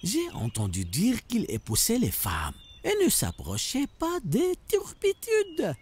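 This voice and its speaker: A woman voicing a shameless villain of a sinful town in an animated religious story, with a disdainful delivery. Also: mid-range voice, slow, slightly rough, full character voice. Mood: scornful.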